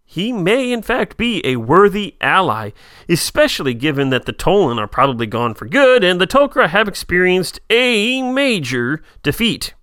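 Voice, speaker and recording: Bright, animated guy recapping episodes, dry close sound. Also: high-pitched, dry recording. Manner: quick, lively retelling with asides. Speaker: podcast co-host. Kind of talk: casual chat, recapping an episode.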